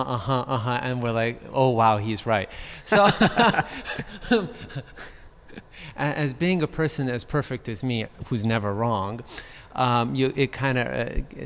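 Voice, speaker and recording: A man's voice: medium pitch, a bit bright. A man with a mid-range voice and as his chats as an interview guest over faint background noise. He talks casually and likes to joke and banter. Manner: joking, banter-prone. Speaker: podcast guest, design business partner. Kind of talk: casual conversational interview answers. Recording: clear background noise, room ambience.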